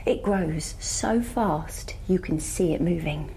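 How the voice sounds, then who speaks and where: An actress playing a wounded, weary scientist in an audio drama, speaking in a guarded, wry, understated way. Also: female voice, lowish voice, slow, warm tone, a little hiss or hum, low-quality recording. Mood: grim, haunted. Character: wounded, weary woman astrophysicist surviving an alien invasion.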